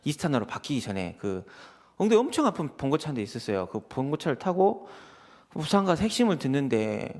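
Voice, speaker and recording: Animated, fast-talking preacher man. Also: mid-range voice. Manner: animated storytelling, acts out quoted exchanges. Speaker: associate pastor preaching. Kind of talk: conversational sermon with personal anecdotes.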